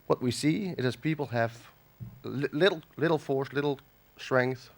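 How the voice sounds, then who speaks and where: A man from the Netherlands gives a conference lecture while nursing a cold. His delivery is halting, full of fillers and restarts, at a middling pitch. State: has a cold. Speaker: conference speaker. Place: conference hall.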